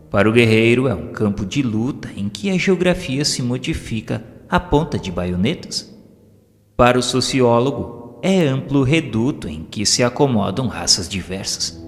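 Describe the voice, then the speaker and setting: A man with a Brazilian accent reads a scripted audio novel as its narrator, in a solemn, formal and measured literary manner. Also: lowish voice. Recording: long hall reverb, music underneath. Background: background music.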